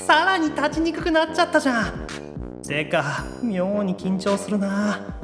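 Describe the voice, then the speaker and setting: Young otaku demon brother, very high-pitched, wildly animated, anxious, theatrical, anime audio drama. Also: male voice, a little slow, music in the background. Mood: nervous muttering. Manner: theatrical, swings from awkward muttering to gushing squeals.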